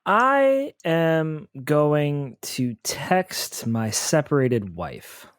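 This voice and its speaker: A man's voice: on the high side, big pitch swings, extremely slow, clean tone, no room sound, studio quality. A man playing a tabletop role-playing game, casually improvising and repeating words as he thinks aloud. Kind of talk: casual in-character improvised chat.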